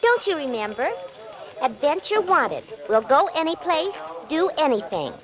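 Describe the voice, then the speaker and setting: An actress playing a perky, inquisitive young newspaper clerk in a 1940s radio drama. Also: female voice, high voice, very animated, measured pace, a crowd in the background, rough recording. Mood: curious. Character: chirpy, nosy young female newspaper ad clerk. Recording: vintage 1940s radio recording.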